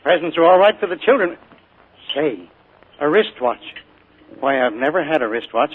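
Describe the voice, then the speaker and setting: A man's voice: fairly high pitch, lively intonation, normal speed, breathy edge, phone-quality sound. A mild, homely small-town father. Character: plain, modest middle-aged bank clerk father. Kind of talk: 1940s radio acting. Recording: scratchy 1940s radio broadcast with faint hiss.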